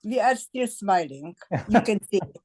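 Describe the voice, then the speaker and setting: An elderly woman from Slovakia with a Slovak accent, giving personal testimony haltingly in non-native English. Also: mid-range voice, lively intonation, a little mellow, husky. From Bratislava, Slovakia. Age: 80s. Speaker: Holocaust survivor giving testimony. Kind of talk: personal testimony in a group discussion.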